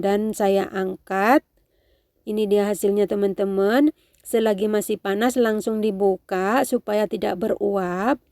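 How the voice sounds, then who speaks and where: A woman with a nasal, congested voice from a cold gives a casual cooking tutorial, gently instructing her viewers. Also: medium pitch, dry recording, studio quality. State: has a cold. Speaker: home cooking video host.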